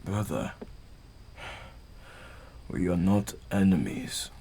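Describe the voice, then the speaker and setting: A male actor plays an earnest young warrior son in an audio drama. His voice is fairly low, rough and husky, and moves expressively up and down as he speaks slowly and dutifully to his family. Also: lively intonation, warm tone, heavily acted. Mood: pleading, frustrated.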